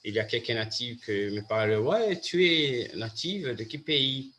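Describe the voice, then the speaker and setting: Brazilian man, Portuguese native, hosting a halting learner's chat at a slow pace. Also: medium pitch, expressive, sharp tone, a little hiss or hum. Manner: halting, many restarts and fillers. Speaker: host. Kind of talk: casual chat in a learned language.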